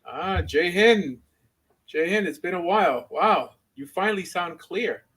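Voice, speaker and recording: A man's voice: high voice, animated, unhurried, a bit bright, slightly rough. A man speaking casually as a rabbi and livestream host, rambling and opinionated in an informal way. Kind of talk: casual livestream chat and debate.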